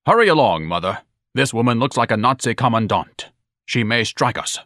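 A man's voice: mid-range voice, animated, moderate pace, a bit bright, no echo, studio quality. A man voicing a pompous, overeducated grown son with a grandiloquent, theatrical manner and formal diction. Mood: indignant, thundering.

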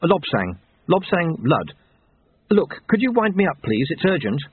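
A naive young apprentice as a male narrator plays him, hesitant, polite and impatient. His audiobook voice is pitched up and urgent, with a thin telephone-like sound. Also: very animated, a little slow, breathy edge, dry recording, full character voice. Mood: flustered, urgent. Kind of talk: audiobook character voice. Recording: narrow tinny phone-like sound.

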